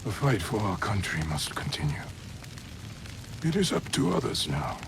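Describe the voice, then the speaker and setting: Gravelly, defeated warlord. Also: male voice, deep voice, sing-song, normal speed, noisy background. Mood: resigned, grave. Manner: grave, solemn, declamatory. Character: proud, defeated middle-aged Japanese warlord facing death. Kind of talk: dramatic video game cutscene acting.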